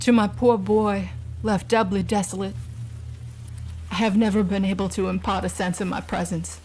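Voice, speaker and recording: A woman playing the sorrowful ghost of a murdered wife and mother, speaking in a solemn, measured, formal literary monologue. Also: mid-range voice, fairly even intonation, a little mellow, faint music behind, natural voice. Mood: grieving. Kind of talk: literary gothic monologue in an audio drama.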